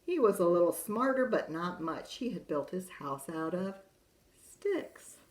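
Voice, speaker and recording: A woman's voice: fairly low pitch, sing-song, unhurried. A woman voicing a sly, self-pitying male wolf who tells his side in a chatty, confiding way, in a roomy space. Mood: protesting innocence. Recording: roomy echo.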